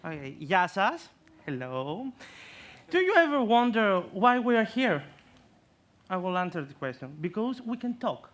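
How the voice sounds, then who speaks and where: A man in his twenties from Greece, speaking English with a Greek accent, giving an earnest science talk in a competition, with halting phrasing and frequent restarts. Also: sits high, sing-song, fairly bright tone, a little hiss or hum. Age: 20s. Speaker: science communication contestant.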